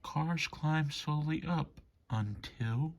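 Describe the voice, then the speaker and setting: Male bedtime story reader, unhurried and rhythmic, with a rough, husky grain. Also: fairly high pitch. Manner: rhythmic, measured delivery of rhyme. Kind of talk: bedtime story read-aloud.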